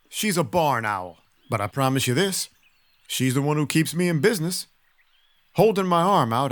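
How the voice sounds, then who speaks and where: An actor playing a world-weary vampire detective, narrating a noir audio drama in a wry, hard-boiled, deadpan way. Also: male voice, medium pitch, close to an everyday voice. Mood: dry. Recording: music behind the voice.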